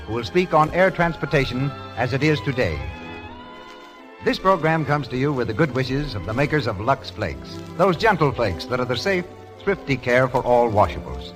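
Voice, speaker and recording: A man's voice: mid-range voice, poor audio. A man delivering scripted announcements and narration in a 1930s radio show style, on an old broadcast recording.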